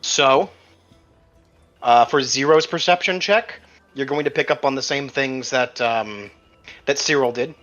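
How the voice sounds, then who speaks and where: A man's voice: medium pitch, measured pace, pauses often, fairly bright tone, muffled recording. A man speaking casually as game master, improvising descriptions for players in a tabletop game. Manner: casual, conversational. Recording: band-limited audio with music underneath. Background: music.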